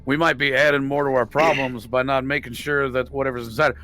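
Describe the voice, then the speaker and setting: A man's voice: mid-range voice, steady tone, fairly quick, sharp tone. A man playing a tabletop roleplaying game, chatting casually and arguing his case in an insistent, heavily profane way. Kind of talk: casual in-character table chat.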